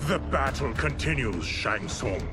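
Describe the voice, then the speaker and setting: An actor playing a menacing necromancer in a video game cutscene, ominous and theatrical. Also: male voice, medium pitch, big pitch swings, a little slow, loud music behind the voice. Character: sinister necromancer, grown man, menacing and grandiose.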